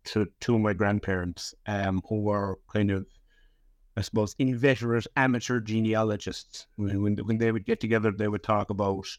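A man's voice: fairly low pitch, no echo. A man in his thirties with a Cork Irish accent, talking casually as a guest, often repeating words and restarting. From Ireland (West Cork). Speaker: historian, guest author. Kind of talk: casual interview chat.

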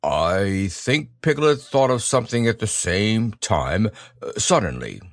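A man voicing a simple, good-natured, wistful bear in a storybook, ponderous and halting. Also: on the low side, sing-song, no echo, clean studio sound. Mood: earnest, hesitant. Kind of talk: storybook character reading.

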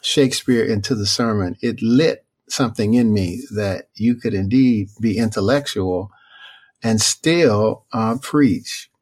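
Soft-spoken thoughtful preacher, lower voice. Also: male voice. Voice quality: not booming, not deep voice. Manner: thoughtful, unhurried, many fillers and restarts. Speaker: preacher and author, interview guest. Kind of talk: reflective conversational interview answers.

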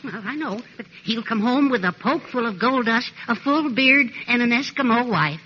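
A man's voice: very high-pitched, expressive, moderate pace. A man playing a meek, fussy little neighbour who is prim, naive and eager, in 1940s radio comedy style. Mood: eager, fanciful. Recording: noisy muffled vintage radio recording.

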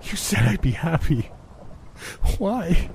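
A man's voice: lowish voice, normal speed, a little hiss or hum, lightly acted. A man voicing a grief-stricken, enraged husband who cries out in anguish. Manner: anguished, shouting, raw.

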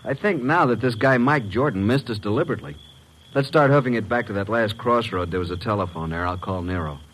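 An actor playing a brisk, streetwise detective's assistant in an old radio drama, matter-of-fact, on a faintly noisy recording. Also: male voice, fairly low pitch, fairly bright tone, lightly acted. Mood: musing.

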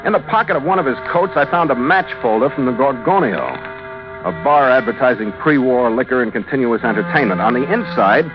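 A man's voice: mid-range voice, animated, fairly quick, close to an everyday voice. A man with an American accent playing a tough, suspicious ship's skipper, narrating a mystery in a terse, wisecracking radio-drama style. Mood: hard-boiled narrating. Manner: terse, wisecracking, hard-bitten. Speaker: lead actor and narrator. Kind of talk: hardboiled 1940s-50s American radio acting and narration. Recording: muffled old radio recording with music behind.

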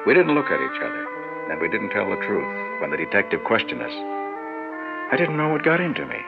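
An actor playing an ambitious, earnest businessman in an old-time radio drama, sometimes hesitant in his delivery. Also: on the low side. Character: ambitious, earnest businessman recalling an awkward youthful romance. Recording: muffled, noisy vintage radio recording.

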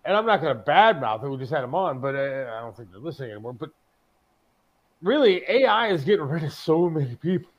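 A man's voice: on the high side, big pitch swings, fast talker, lo-fi sound. A middle-aged American man co-hosting a talk show, griping casually and emphatically, with some swearing. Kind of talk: casual, opinionated chat.

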